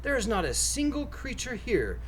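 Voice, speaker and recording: A man voicing a vain, cruel young prince from a storybook, pompous, contemptuous and quick to anger. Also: very high-pitched, huge pitch swings, slight background noise, voice pushed up, full character voice, rough recording. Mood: scornful, furious.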